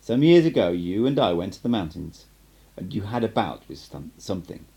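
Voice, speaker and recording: A man reading as cryptic sorcerer mentor, low, animated. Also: breathy edge, full character voice. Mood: solemn, emphatic. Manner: emphatic, measured, instructive. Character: assured male sorcerer mentor, patient and cryptic.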